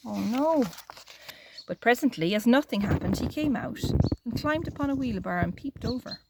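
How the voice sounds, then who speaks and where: A woman's voice: a little high, expressive, moderate pace, vocal fry, heavy background noise. A woman reading a children's storybook aloud as a storyteller, expressively, adding sympathetic little asides for young listeners. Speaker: library storyteller.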